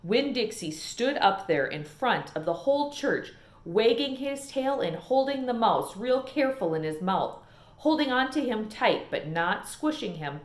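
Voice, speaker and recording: A woman narrates a children's novel as a read-aloud. Her voice sits a little high and her storytelling is expressive. The room gives a noticeable echo. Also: measured pace. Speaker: storybook reader. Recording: echoey room.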